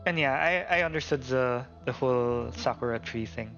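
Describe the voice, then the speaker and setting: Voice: mid-range voice, normal speed, fairly bright tone, dull audio. A game streamer chatting casually and reacting on a livestream. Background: faint game music.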